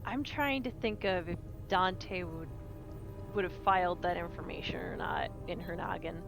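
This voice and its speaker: A woman's voice: lowish voice, moderate pace. A woman talking casually as a player in a tabletop roleplaying game. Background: music.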